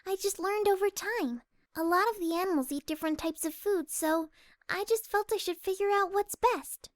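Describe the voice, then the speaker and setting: A woman voicing a timid, gentle young female pegasus pony, soft-spoken, hesitant and polite, in a story reading. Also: very high voice, moderate pace, no room sound, studio quality. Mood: shy, modest. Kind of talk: fan audiobook character acting.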